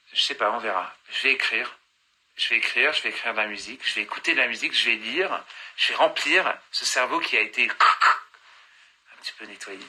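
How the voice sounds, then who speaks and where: A man's voice: medium pitch, pushed and bright, breathy edge, low-quality recording. A man in his thirties chatting on a phone live stream, reading fan comments aloud and answering them, switching between languages mid-answer.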